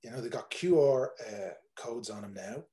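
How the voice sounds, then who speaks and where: A man's voice: mid-range voice, expressive, normal speed, dark tone. A man in his forties with an Irish accent, a tour operator talking casually on a video call, rambling with many fillers and restarts. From Ireland. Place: home room, indoors.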